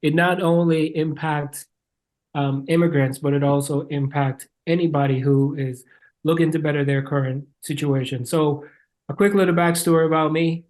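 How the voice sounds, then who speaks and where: A man's voice: on the high side, fairly even intonation, normal speed, a little mellow. Jamaican man telling his story. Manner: earnest, many "you know" fillers. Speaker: podcast guest. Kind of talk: casual interview storytelling.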